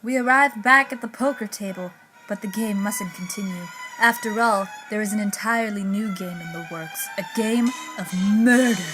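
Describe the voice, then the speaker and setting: A woman's voice: medium pitch, normal speed, natural voice, rough recording. A woman narrating a scripted 1940s-style radio mystery as an ominous storyteller. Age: teens. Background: music.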